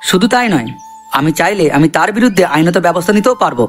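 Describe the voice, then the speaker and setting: A man's voice: sits high, lively intonation, fast. A man voicing an earnest, chatty cartoon character, explaining things to viewers. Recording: voice over background music.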